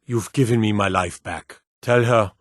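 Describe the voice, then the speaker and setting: A man's voice: fairly low pitch, moderate pace, dry recording, clean studio sound, natural voice. An actor playing an earnest, grateful man rescued from captivity in a fantasy video game. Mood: grateful, sorrowful. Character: grateful rescued male prisoner, earnest fugitive.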